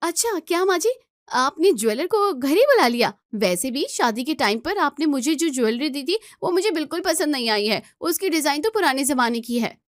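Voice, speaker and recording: A woman's voice: high-pitched, big pitch swings, normal speed, dry recording, studio quality, pitched above the performer's own voice. A woman voicing a whiny, quarrelsome young sister-in-law in a family story, indignant and demanding. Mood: displeased.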